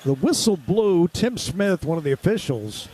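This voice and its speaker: A man's voice: high-pitched, sing-song, moderate pace, mellow, rough recording. A man doing live radio-style basketball play-by-play in clipped, vivid running descriptions.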